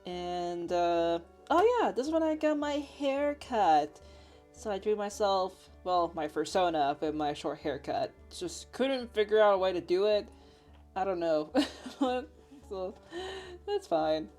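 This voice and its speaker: A woman's voice: mid-range voice, animated, unhurried, darkish tone. A young woman with a Finnish accent, chatting casually in a bubbly, giggly, rambling way as she shows her sketchbook. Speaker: artist showing her sketchbook. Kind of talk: casual voice-over chat. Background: faint background music.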